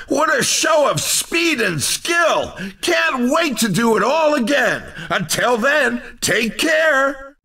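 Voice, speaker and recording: Casting: over-excited, folksy arcade-racer announcer, wildly swooping intonation, unhurried delivery, exuberant showman. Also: very high-pitched, recorded from a distance, full character voice. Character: manic, over-excited male race announcer. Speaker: racing commentator. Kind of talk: hyped video game race commentary.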